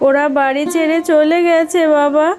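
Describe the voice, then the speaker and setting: A woman voicing the women of a cartoon family, gentle and pleading. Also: high voice, little pitch movement, normal speed, lightly acted. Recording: loud background music.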